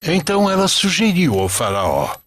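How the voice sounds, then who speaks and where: A man playing a devout, patient old grandfather narrating a sacred animated story. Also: on the high side, moderate pace, dry recording, clean studio sound, heavily acted. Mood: solemn storytelling.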